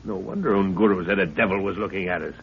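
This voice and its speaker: A man's voice: low voice, fast talker, husky. An actor playing a middle-aged explorer father, curious, authoritative and given to explaining, in a 1930s radio serial. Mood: excited caution. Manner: explanatory, exclaiming, commanding. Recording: dull 1930s radio recording.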